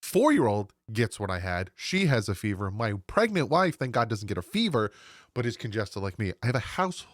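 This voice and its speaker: A man's voice: on the low side, big pitch swings, no room sound, clean studio sound. A man in his thirties hosting a sports channel from home, sounding congested and nasal from a cold as he chats casually and rambles to viewers. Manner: rambling, chatty, quick asides to viewers. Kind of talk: casual solo sports commentary. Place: home room. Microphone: desk microphone.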